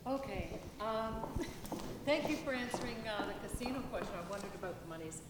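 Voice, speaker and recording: A woman's voice: mid-range voice, lively intonation, fairly quick. A woman in the audience asking a question at a town hall, chatty and polite, with a big projecting voice. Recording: long hall reverb, faint noise.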